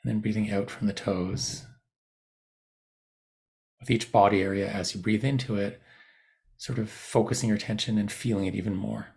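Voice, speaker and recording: A man guiding a body scan meditation in a soothing, unhurried manner, leaving long pauses between short phrases. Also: on the low side, steady tone, creaky, a little mellow. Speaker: meditation guide. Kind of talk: guided body scan meditation, unscripted instruction.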